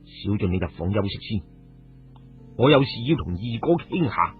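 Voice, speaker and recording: A narrow radio recording holds a man acting as a troubled, resolute hero of a storytelling drama. He speaks low and husky, with serious, hesitant restraint. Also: sing-song, moderate pace, soft background music, full character voice. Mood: subdued. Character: troubled, resolute male hero confiding in brothers. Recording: muffled phone-like radio recording.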